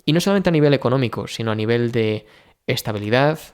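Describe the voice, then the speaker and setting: A man's voice: medium pitch, lively intonation, fast talker, smooth. A man from Spain talking casually in a vlog, thinking aloud with many fillers and restarts. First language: Spanish. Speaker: vlogger. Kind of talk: casual personal vlog talk.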